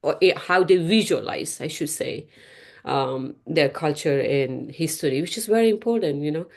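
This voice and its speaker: A woman hosting an interview, speaking as a with halting phrasing. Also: low voice, animated, creaky. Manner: halting, non-native phrasing, warm laughs. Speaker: interview host.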